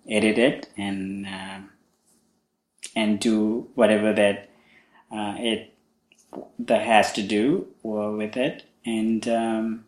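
A young man from Sri Lanka, a Buddhist monk hosting a podcast, talking slowly with many pauses and fillers about his life. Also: lowish voice, echoey room. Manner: slow, halting, many fillers. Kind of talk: casual spoken monologue.

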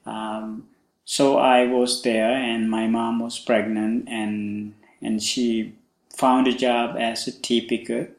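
A young man from Sri Lanka, a Buddhist monk hosting a podcast, talking slowly with many pauses and fillers about his life. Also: medium pitch, flat delivery, gravelly, echoey room. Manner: slow, halting, many fillers. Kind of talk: casual spoken monologue.

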